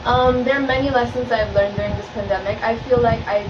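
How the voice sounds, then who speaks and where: A woman's voice: a little high, fairly even intonation, fast. A woman in her twenties from Macau, earnest and articulate, giving an interview answer as a pageant contestant. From Macau, of Filipino and French parents. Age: 20s. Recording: very noisy, echoey, dull, distant mic.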